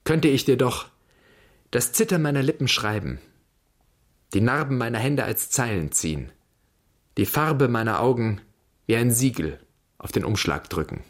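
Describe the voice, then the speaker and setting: A man in a German radio drama playing a brooding, haunted son who muses in halting fragments and questions. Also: mid-range voice, animated, close to an everyday voice. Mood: wistful. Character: brooding son inspecting his dead father's flat, haunted, searching. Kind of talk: German radio drama acting, introspective monologue.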